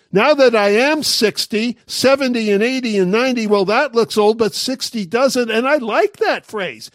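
High-voiced man in his sixties, dry sound. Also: no echo. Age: 60s. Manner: wry, sarcastic punchlines. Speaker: commentary host. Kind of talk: talk show monologue.

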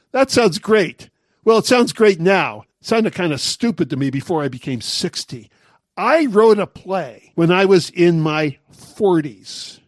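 A man's voice: sits high, no echo, studio quality. A man of sixty-nine hosting a talk commentary monologue, making wry, sarcastic jokes. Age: 60s. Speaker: commentary host.

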